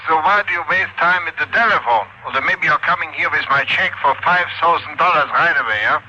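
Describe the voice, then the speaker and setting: An actor playing an impatient, money-minded junkyard owner, brusque and pushy, in 1950s radio style. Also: male voice, on the high side, a little fast, sharp tone. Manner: brusque, pushy, foreign-sounding phrasing. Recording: noisy, muffled old radio recording.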